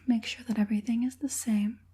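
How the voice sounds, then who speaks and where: A woman playing a softly menacing evil witch puppet master in an ASMR roleplay, whispering breathily with a coaxing, politely sinister manner. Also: fairly high pitch, flat delivery, brisk, breathy edge. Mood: meticulous, gentle.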